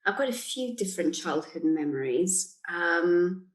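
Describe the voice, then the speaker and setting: A woman's voice: on the low side, lively intonation, measured pace. Laughing woman in her forties from Portugal. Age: 40s. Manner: laughs often, thinks aloud. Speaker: guest, solicitor. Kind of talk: casual interview chat.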